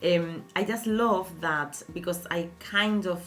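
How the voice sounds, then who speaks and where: A woman's voice: fairly low pitch, slow pace, a little hiss or hum. A woman hosting a podcast interview, chatty and encouraging, with many fillers. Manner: chatty, warm-hearted encouragement, filler-heavy. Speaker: podcast host. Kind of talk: casual interview.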